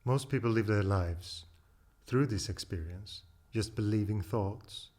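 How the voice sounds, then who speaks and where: A man leading a guided meditation as a teacher, speaking in calm, deliberate phrases with long pauses. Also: fairly low pitch.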